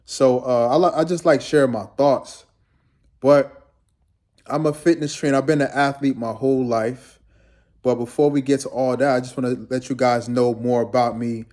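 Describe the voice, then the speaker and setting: Young man, laid-back, rambling vlog talk, mid-pitched voice. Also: steady tone, moderate pace, darkish tone. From Guyana. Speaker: fitness trainer vlogger. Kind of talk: casual talking-head vlog.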